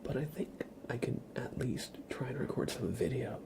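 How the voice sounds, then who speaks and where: A man streaming ASMR, chatting casually to viewers in a soft, breathy whisper with a slightly stuffy nose. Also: mid-range voice, animated, moderate pace, noisy background. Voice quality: breathy whisper with a creaky edge. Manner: soft-spoken, rambling, casual.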